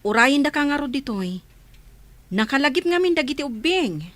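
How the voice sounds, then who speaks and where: A woman's voice: high-pitched, very animated, moderate pace, fairly bright tone. A woman playing a kindly, playful motherly figure in a radio drama, with an Ilocano accent. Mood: friendly, teasing.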